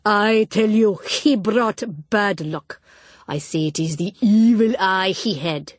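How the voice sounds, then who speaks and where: A woman voicing a flamboyant, superstitious middle-aged lady, loud and theatrical. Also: medium pitch, slow pace, dry recording. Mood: dramatic. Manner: theatrical, emphatic, loud declarations. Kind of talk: audiobook character voice.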